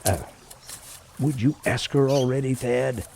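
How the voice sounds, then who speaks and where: A man's voice: mid-range voice, fairly even intonation, a little slow, creaky, darkish tone, slight background noise. An actor playing an easygoing, teasing sidekick to a policeman in a radio play, with an informal delivery. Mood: teasing, playful.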